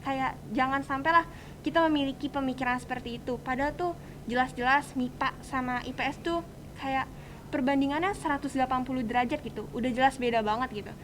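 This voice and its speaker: A teenage young woman with a high voice and a Jakarta Indonesian accent. She chats in casual slang as the host of a student podcast. A faint low rumble sits behind her voice. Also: fairly even intonation, fast. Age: teens.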